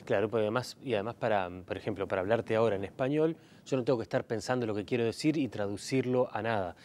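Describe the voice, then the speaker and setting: A man asks questions at a brisk pace. He affirms often with short interjections. Faint background noise sits under his voice. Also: fairly low pitch, darkish tone.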